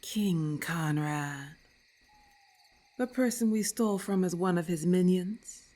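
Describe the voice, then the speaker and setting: Haughty snake woman, acted by a woman, low-pitched, unhurried, hissing, imperious, menacing ASMR roleplay. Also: dark tone. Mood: intrigued. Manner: hissing, imperious, formal phrasing. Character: haughty, irritable lamia woman, menacing and scornful of humans.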